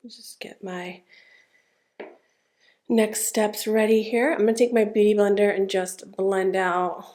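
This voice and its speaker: A young woman talks her way through a makeup routine at home, her voice a little blocked and stuffy from congestion. She keeps it relaxed and conversational, filling gaps with small words as she works. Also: medium pitch, slow pace. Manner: chatty, relaxed, narrating each step with fillers. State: stuffed-up nose. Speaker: beauty vlogger. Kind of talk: casual makeup tutorial narration.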